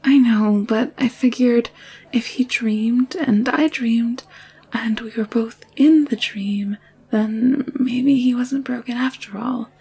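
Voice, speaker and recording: A woman playing an anxious, secretive young alien nurse in an intimate audio drama, confiding in a hushed, hesitant way. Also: on the high side, steady tone, takes it slowly, gravelly, breathy edge, heavily acted. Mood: defensive, earnest. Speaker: voice actor in audio roleplay.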